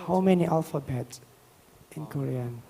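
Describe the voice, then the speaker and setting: Creaky-voiced Indonesian man moderating in a hall. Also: on the high side, unhurried, mellow. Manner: repetitive okays and yeahs. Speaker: moderator of a panel discussion. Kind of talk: informal live event hosting in simple English. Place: hall on a stage, live event. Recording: echoey hall sound.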